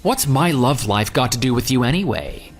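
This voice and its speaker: A man's voice: medium pitch, animated, moderate pace, lightly acted. A man playing a self-assured, unyielding jilted groom who leads and narrates an audio drama in a dry, sarcastic manner. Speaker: narrator and lead character. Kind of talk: audio drama dialogue and first-person narration. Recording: music bed under the voice. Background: background music.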